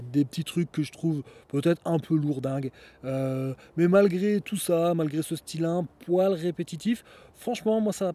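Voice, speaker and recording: A man's voice: on the high side, dark tone. A man hosting a solo podcast outdoors, talking casually and rambling with many fillers. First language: French. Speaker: podcast host.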